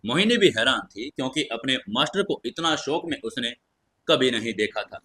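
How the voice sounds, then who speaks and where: A man's voice: medium pitch, animated, moderate pace, bright, forceful tone, husky. A man narrating a fantasy audio story in a scripted, dramatic storytelling style.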